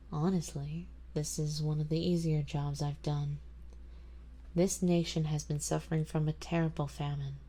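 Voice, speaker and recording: Woman doing an old vampire mistress for asmr, soft n breathy, dark mellow voice, really unhurried, doting but possessive. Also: sits low. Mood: composed, businesslike. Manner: slow, soft, intimate, breathy delivery drifting into whispers. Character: ancient, wealthy female vampire owner, doting, composed, quietly possessive. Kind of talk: ASMR roleplay audio drama, addressing the listener.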